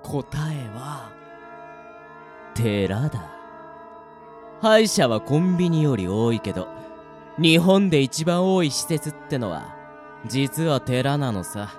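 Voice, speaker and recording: A man playing a cocky, cruel death-game player in a Japanese radio drama, with rough, taunting, gloating speech. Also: mid-range voice, big pitch swings, slow, pauses often, a little mellow, soft background music.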